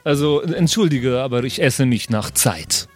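A man's voice: mid-range voice, lively intonation, a little slow, faint music behind. A man chatting casually in speech, jokey and thinking aloud as he plays a tabletop role-playing game with others. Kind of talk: casual chat.

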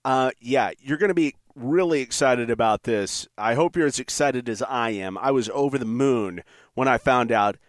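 A man's voice: medium pitch, moderate pace, dry recording, studio quality. A man hosting a sports podcast, talking in a chatty, enthusiastic way. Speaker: podcast host. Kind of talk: sports interview and show outro.

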